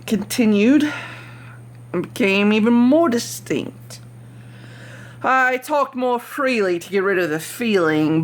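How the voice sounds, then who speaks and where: A woman's voice: lowish voice, gravelly, exaggerated voice. A woman voicing an unhinged, cunning murderer in a theatrical, intense dramatic reading of a horror story. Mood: uneasy. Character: unhinged murderer, smug and cunning, growing frantic.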